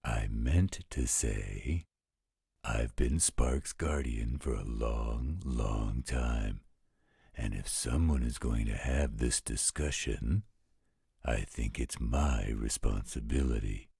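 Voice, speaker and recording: An actor playing an overprotective, fatherly werewolf guardian in an audio roleplay, with a gravelly, husky voice and a careful, measured delivery. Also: a bass voice, dark tone, no echo, studio quality, full character voice. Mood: concerned, protective. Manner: slow, measured, careful explaining.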